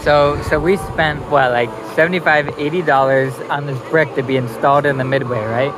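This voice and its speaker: Lightly high-voiced man vlogging outdoors. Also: fairly even intonation, fairly quick, background noise. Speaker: vlogger at a theme park. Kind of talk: casual chat and walk-through commentary. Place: outdoors in an amusement park. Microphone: phone camera. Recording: outdoor phone recording with music behind.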